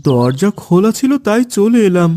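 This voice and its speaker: A man's voice: high voice, very animated, normal speed, warm tone, slight background noise. A man voicing a poor, deferential uncle in a cartoon, respectful and apologetic. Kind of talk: cartoon voice acting.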